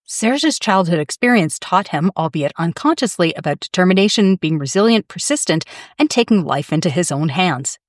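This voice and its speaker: A woman hosting a podcast, reading scripted narration in a smooth, measured way. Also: lowish voice, lively intonation, dry recording, studio quality. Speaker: podcast host.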